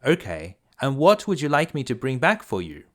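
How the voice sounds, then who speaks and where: Man playing a mild, expressive merchant father. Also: medium pitch, moderate pace. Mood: kindly. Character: kindly, meek merchant father of three daughters. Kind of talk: children's fairy tale storybook reading.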